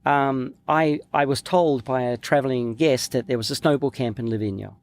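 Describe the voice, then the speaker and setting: Podcast guest: middle-aged man from New Zealand, gravelly voice, relaxed storyteller who trails off with fillers. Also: medium pitch, normal speed. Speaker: guest, former pro snowboarder. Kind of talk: casual interview chat.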